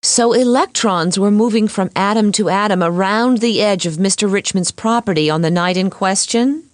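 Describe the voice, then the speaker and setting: A woman's voice: medium pitch, moderate pace, no room sound, clean studio sound, lightly acted. A woman voicing a poised, sincere female lawyer in a cartoon courtroom. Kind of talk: animated educational courtroom dialogue.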